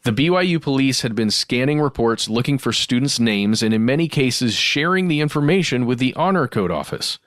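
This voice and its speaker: Male podcast co-host, average pitch, dry recording. Conversational reader who pauses to explain and recap. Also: animated, a bit bright, no room sound. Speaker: podcast co-host reading listener mail. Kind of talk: conversational podcast, reading emails aloud with asides.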